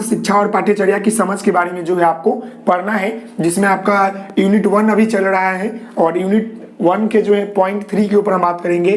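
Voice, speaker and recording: A man from Bihar with a Bihari Hindi accent and a sore throat, teaching a live online class, with many fillers and reassuring asides to viewers. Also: high voice. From Bihar, India. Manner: repetitive fillers, frequent "ji" and "okay"; reassuring, tells viewers not to worry.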